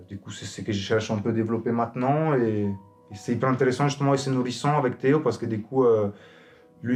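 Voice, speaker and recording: A man's voice: medium pitch, a little mellow. Man over music. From abroad, outside France. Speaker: circus acrobat, company founder. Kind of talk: informal interview about own work.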